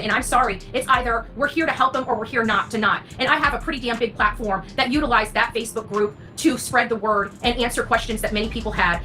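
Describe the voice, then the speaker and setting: Female streamer for a fired-up rant: forceful bright tone, blunt and sweary, music playing underneath. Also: fairly high pitch. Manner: indignant, emphatic, blunt, sweary. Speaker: online true crime content creator. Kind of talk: impassioned rant to livestream audience. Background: background music.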